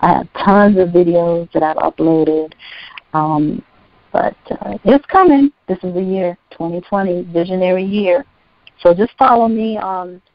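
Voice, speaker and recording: Soothing low-voiced woman, dry and dull. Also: dry recording. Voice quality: soothing, relaxing voice. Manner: chatty, rambling with fillers and self-corrections. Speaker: poet, guest. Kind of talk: casual interview chat. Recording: dull lo-fi recording.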